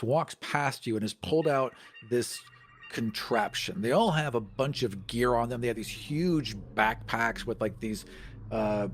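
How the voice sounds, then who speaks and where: Casual male game player, noisy recording. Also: medium pitch. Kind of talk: casual, improvised description of his characters' actions.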